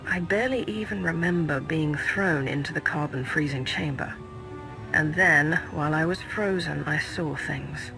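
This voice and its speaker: An actor playing a composed, confident Sith sorcerer in a video game, with a measured, assured manner. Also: male voice, on the high side, creaky, over music, poor audio. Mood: grave, troubled. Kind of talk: video game cutscene acting.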